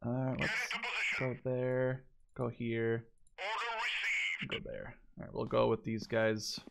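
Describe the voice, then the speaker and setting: A man's voice: mid-range voice, little pitch movement, slow, gravelly. A young man giving casual, informal let's play commentary on a game. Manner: informal, sweary. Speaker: gaming video creator.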